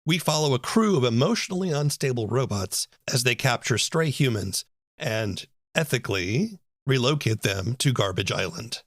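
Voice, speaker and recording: A man's voice: mid-range voice, lively intonation, normal speed, a bit bright, no echo. A man hosting a podcast, reading scripted narration into a close microphone. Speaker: podcast host.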